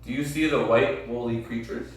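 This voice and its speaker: A man reading a children's story aloud expressively, with deliberate, dramatic phrasing and questions to his listeners. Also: medium pitch, fairly even intonation. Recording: very echoey room, distant mic.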